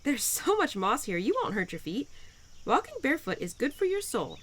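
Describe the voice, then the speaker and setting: A woman's voice: on the high side, sing-song, normal speed, a bit bright, slight background noise. A woman playing a cheerful, pun-loving spirit of spring in an intimate audio roleplay, playful and encouraging with flustered hesitations.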